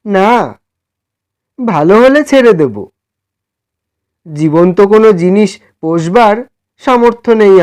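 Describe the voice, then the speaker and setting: A man voicing a timid, poor, kind gentleman in a storybook, speaking politely and pleadingly. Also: sits high, voice pushed up, full character voice. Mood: humble, wistful. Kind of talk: dramatised storybook dialogue.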